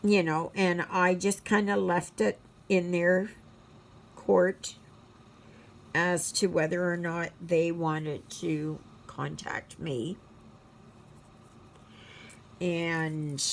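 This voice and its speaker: A woman in her sixties from Canada hosts a stitching channel at home. She chats casually while she works, slow and reflective, rambling with many fillers and murmuring softly to herself. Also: lowish voice, gravelly, a little hiss or hum. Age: 60s.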